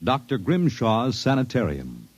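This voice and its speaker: A male announcer introducing a 1950s radio drama in a measured, declamatory way. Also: mid-range voice, sing-song. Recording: old broadcast with music bed.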